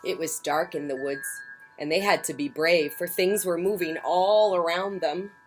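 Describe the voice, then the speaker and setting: A woman hosting a children's story time, reading picture books aloud in a theatrical, emphatic way with swells and hushes. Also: fairly low pitch, fairly even intonation, moderate pace, music in the background, rough recording. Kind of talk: expressive picture-book read-aloud. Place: outdoors on a porch.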